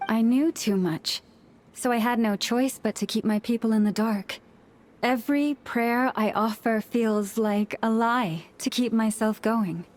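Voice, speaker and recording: A woman voicing a gentle, solemn young priestess leader in a video game, with measured, formal delivery. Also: fairly high pitch, natural voice. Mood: somber, confiding.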